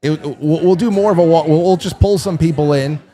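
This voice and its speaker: A man hosting a live stream, talking in loose, excitable bursts and restarting his sentences often. His voice sits a little higher than most men's, and the talk is busy and informal, like a studio full of guests. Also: fairly quick. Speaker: show host. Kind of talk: casual live-stream chat.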